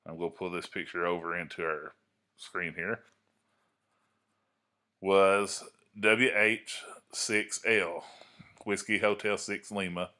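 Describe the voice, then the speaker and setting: Deep, bright-voiced man explaining informally. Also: fairly even intonation, slow pace, pauses often. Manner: folksy, explanatory, checks in with "okay?". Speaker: amateur radio hobbyist presenting a tutorial. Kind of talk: informal screen-recorded tutorial.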